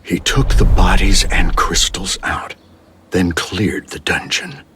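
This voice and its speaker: Low, measured voice of authority. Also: male voice, sharp tone, soft background music, exaggerated voice. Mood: awed gravity. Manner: formal, measured. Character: authoritative male superior, measured and weighty. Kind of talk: anime game cutscene acting.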